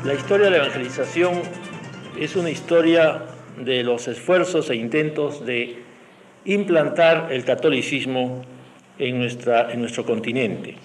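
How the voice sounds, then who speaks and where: A man giving explanatory academic commentary as a historian, in measured phrases with emphatic repetitions. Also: medium pitch, soft background music, rough recording. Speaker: historian and university professor, priest.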